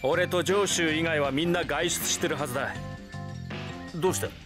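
A man's voice: on the high side, moderate pace, close to an everyday voice. An actor playing a reserved, sceptical young man in a Japanese anime game, plain-spoken and terse. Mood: reserved, puzzled. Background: game music.

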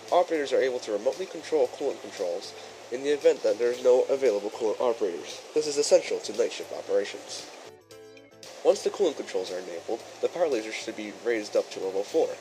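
A man playing a courteous chief engineer who presents a training film in formal, measured, instructional narration. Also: medium pitch, expressive, natural voice, rough recording. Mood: instructive, formal. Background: music behind the voice.

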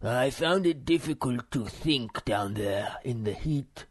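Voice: medium pitch, lively intonation, slow, slightly rough, no echo, clean studio sound. A performer, a man, voicing a solemn, pompous muskrat philosopher in a storybook, speaking in a ponderous, dignified way.

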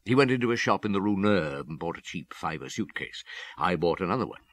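An actor in a radio drama playing a brooding, guilt-ridden police detective who tells things in a reflective, halting way. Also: male voice, low-pitched, lively intonation, fairly bright tone. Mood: haunted, reflective. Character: brooding, guilt-ridden police detective, world-weary middle-aged man.

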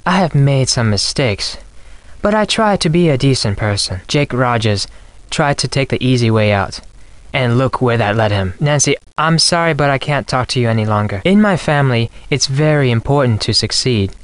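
A man's voice: mid-range voice, expressive, normal speed, no room sound, lightly acted. A man playing a polite, formal, anxious young Japanese exchange student in a video game. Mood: earnest, subdued. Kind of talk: scripted video game dialogue.

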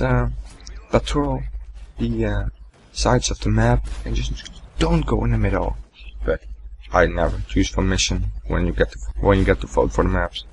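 A young man giving casual gameplay commentary, rambling and swearing, with a deep, gravelly morning voice. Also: a little mellow, a little hiss or hum. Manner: rambling, swearing, laughing at himself. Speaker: gaming commentator.

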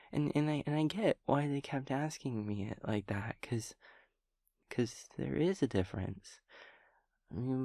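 A man voicing a sweet, naive, cheerful young daemon friend in an intimate audio roleplay, with soft, breathy speech and gentle, halting rambling. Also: medium pitch, no room sound, clean studio sound. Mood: thoughtful, tender. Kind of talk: intimate audio roleplay acting, one-sided conversation.

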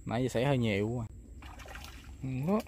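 A man from southern Vietnam, talking casually to viewers while fishing outdoors, excitedly exclaiming and repeating words. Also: mid-range voice, a little fast, a little hiss or hum. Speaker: fishing vlogger. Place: outdoors on a river.

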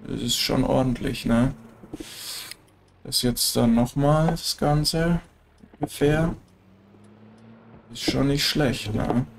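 A man's voice: mid-range voice, slow pace, gravelly, long pauses, background noise. A German-accented man with a stuffy nose from a cold, chatting casually while narrating his farming game. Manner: relaxed, chatty, frequent filler tags. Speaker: let's play gamer. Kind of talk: casual gameplay commentary.

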